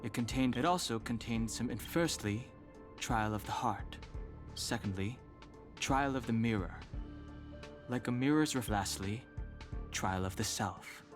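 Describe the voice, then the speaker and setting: A man playing a serious character in a sci-fi video game, recounting things methodically and haltingly. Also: mid-range voice, over music, natural voice. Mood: serious, explaining.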